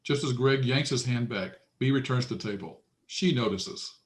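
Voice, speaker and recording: A man's voice: medium pitch, moderate pace. A man narrating stage directions matter-of-factly at a screenplay table read.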